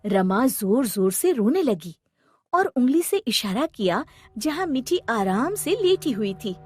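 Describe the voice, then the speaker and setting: A woman narrates a children's story in a high voice over faint music. Her scripted delivery is unhurried and dramatic, with very expressive, animated intonation.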